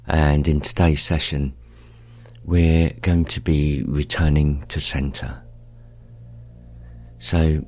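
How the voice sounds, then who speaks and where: Really deep slow guy doing a guided meditation, soft calm manner, pauses a lot. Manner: calm, gentle, unhurried with pauses. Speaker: meditation guide, show host. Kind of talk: guided meditation, gently spoken instruction. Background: music.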